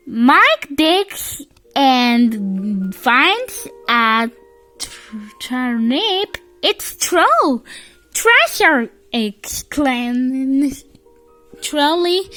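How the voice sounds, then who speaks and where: A very high, childlike voice reads a picture book aloud at a slow pace. The delivery is halting, with stumbles and repeated words, and the pitch moves up and down in a lively way. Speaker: storybook reader.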